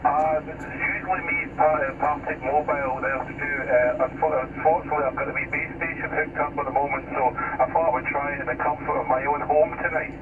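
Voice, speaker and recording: A man's voice: a little high, steady tone, brisk, hardly any pauses. Muffled Scottish man on a noisy CB radio. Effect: CB radio transmission. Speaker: CB radio operator. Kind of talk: casual radio net exchange. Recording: dull, distant, noisy CB radio signal.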